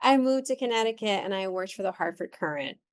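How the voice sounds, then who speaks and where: A woman's voice: medium pitch, lively intonation, a little fast. A middle-aged woman from the Dominican Republic, a therapist guest telling her career story in a reflective way with many ums and restarts. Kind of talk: conversational interview.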